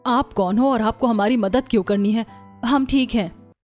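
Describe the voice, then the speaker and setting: A woman's voice: fairly high pitch, normal speed, highs cut off, faint music behind, lightly acted. A woman voicing a dutiful, defensive young elder sister in a cartoon story. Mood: earnest, wary.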